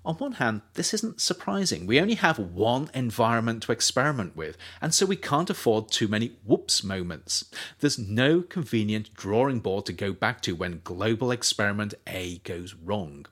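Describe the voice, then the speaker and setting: A man reading his own nonfiction book aloud as an audiobook, in a measured, steady delivery. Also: fairly low pitch, slightly rough. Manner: measured, animated intonation. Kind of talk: audiobook narration.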